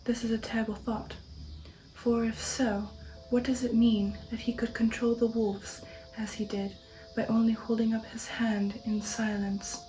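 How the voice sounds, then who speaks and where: A woman speaking in a soft, breathy ASMR whisper, hushed and measured, as the host of a reading channel. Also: a little high, rough recording.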